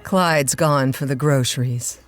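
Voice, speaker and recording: An actress playing a restless, coy, money-hungry wife in an audio drama. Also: female voice, very deep voice, unhurried, faint music behind. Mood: casual, evasive.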